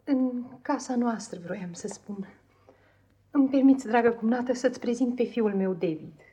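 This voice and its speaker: An actress playing a meek, anxious, affectionate young wife in a radio play, pleading and hesitant in an apologetic way. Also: female voice, a little high, dark tone, slightly rough. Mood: timid welcome. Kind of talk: 1950s radio theatre acting.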